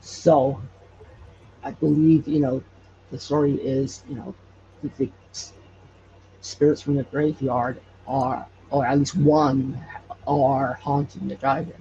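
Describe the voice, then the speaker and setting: A man with a creaky, somewhat bright voice relates stories in a casual interview. He speaks haltingly and often restarts his sentences. The audio is dull and noisy. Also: medium pitch, little pitch movement. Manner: halting, hesitant with repeated false starts. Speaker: podcast creator and guest.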